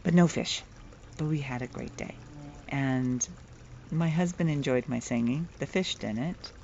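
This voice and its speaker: A middle-aged woman with a soft voice, telling a playful, chatty vlog story outdoors and laughing at her own jokes. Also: a bass voice, unhurried, creaky, slight background noise. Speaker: vlogger. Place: outdoors, near the water. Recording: dull lo-fi outdoor recording.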